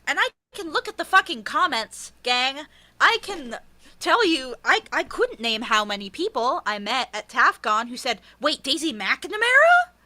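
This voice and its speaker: A woman author being interviewed, chatting casually in an animated, self-deprecating, sweary way. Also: high-pitched, unhurried, no room sound.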